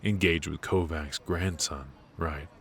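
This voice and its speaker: Casting: male actor as shrewd, ruthless mafia boss for noir ASMR roleplay; extremely low voice, slow delivery, teasing and smug. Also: steady tone. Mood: coolly bargaining. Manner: unhurried, smug, probing, with pauses and musing hums. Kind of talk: intimate ASMR roleplay, noir audio drama.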